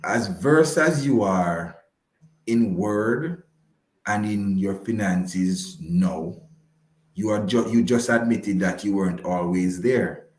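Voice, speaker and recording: A man's voice: fairly low pitch, slow pace, a little mellow, echoey room. A man giving conversational advice as a radio guest, emphatic and repeating key phrases to reassure. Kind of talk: conversational advice on a live broadcast.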